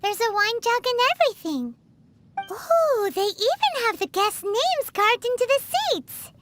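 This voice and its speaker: A woman voicing a tiny, curious, cheeky childlike fairy companion in a video game, with a squeaky voice and exclamatory manner. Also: sing-song, measured pace, a bit bright, slight background noise, heavily acted. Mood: excited, curious. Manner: refers to herself in the third person, exclamatory. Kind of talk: video game character dialogue.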